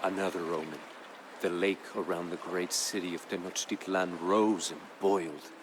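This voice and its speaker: A man playing a proud, grave Aztec jaguar warrior who solemnly recounts his people's fall as a strategy game's campaign narrator, in a measured, dramatic way. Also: low-pitched, fairly even intonation, noisy background, lightly acted. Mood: solemn storytelling. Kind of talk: solemn scripted storytelling narration for a strategy game.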